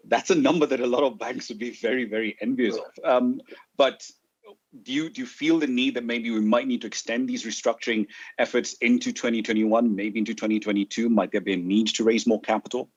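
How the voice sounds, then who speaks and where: A man's voice: mid-range voice, brisk, breathy edge. A man moderating an interview and asking questions hesitantly, with many filler sounds. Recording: dull, muffled sound.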